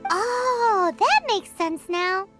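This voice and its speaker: Tiny squeaky, perky fairy companion. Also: female voice, very slow, over loud music, full character voice. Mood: curious, concerned. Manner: bubbly, exclamatory, speaks of self in third person. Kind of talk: video game character acting.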